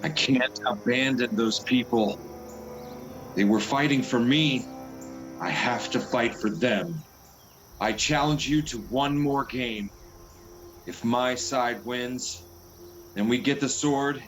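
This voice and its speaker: An earnest young king played by a man speaks slowly over clearly audible music. He is loyal and brave yet desperate, halting and pleading, in radio play style. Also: medium pitch, natural voice, low-quality recording. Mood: earnest, resolute.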